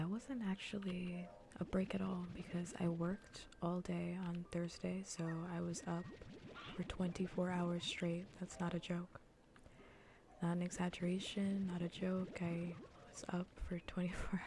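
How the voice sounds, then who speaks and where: Casting: female ASMR gaming streamer, soft near-whisper with gravelly fry, casual and rambling, faint noise behind. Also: lowish voice, little pitch movement, a little slow. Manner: casual, rambling, repeats phrases.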